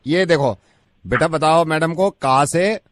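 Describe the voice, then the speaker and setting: Deadpan, insistent higher-voiced male prank caller. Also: slow pace, no room sound, studio quality. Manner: insistent, wordy, deadpan polite. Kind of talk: comic prank calls in assumed everyday characters.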